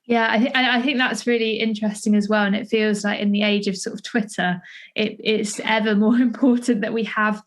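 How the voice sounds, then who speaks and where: A woman's voice: mid-range voice, fairly even intonation, fairly quick. A young British woman conversationally interviewing, with a tentative, discursive way of thinking aloud. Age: young adult. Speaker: podcast interviewer and playwright.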